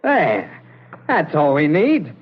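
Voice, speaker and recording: An actor playing a rough henchman who carries out orders with relish, in 1940s radio drama style. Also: a little high, wildly swooping pitch, slow pace, exaggerated voice. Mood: gruffly amused. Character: rough, obedient henchman who enjoys the violence. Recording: dull, slightly hissy old radio broadcast.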